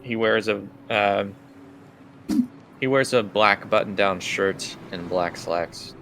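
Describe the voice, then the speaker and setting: A man working as game master, improvising scene narration for a tabletop role-playing game in a halting, thinking-aloud way. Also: on the low side, a bit bright. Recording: clear background room noise.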